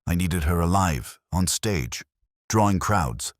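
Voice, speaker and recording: A man performs a desperate, irascible male theatre backer in a detective audiobook. He growls defensively in a very deep voice with lively, snappish swings. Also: slow, dry recording, clean studio sound. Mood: angry, defensive.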